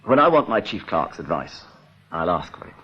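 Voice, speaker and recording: Testy, low-voiced bank office boss. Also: male voice, sing-song, normal speed, slightly rough, exaggerated voice. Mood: irritated, sardonic. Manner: sardonic, testy. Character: irritable, sardonic male bank chief, evasive. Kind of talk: radio drama acting. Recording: dull, noisy old radio recording.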